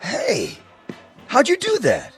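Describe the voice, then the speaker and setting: A man's voice: very high voice, wildly swooping pitch, takes it slowly, music in the background, full character voice. An actor playing an easygoing but wary traveling man in a radio drama, casual and skeptical in his talk. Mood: amused.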